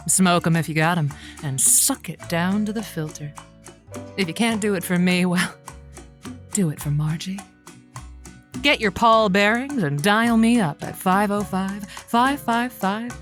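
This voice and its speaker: Playful, wry female radio host. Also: lowish voice. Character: playful, irreverent female late-night radio advice host. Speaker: radio call-in show host. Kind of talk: audio drama acting.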